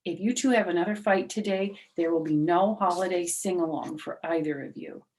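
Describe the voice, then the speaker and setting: A woman's voice: fairly low pitch, fairly quick, a little mellow, close to an everyday voice, low-quality recording. Lady playing a strict teacher guy, fussy and firm, corrects every word. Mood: stern. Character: stern fussy male teacher.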